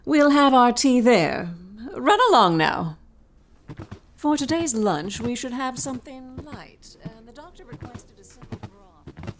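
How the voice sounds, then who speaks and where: A woman playing a brisk, authoritative and exasperated governess of a troubled household in an audio drama. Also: a little high, sing-song. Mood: brisk, composed.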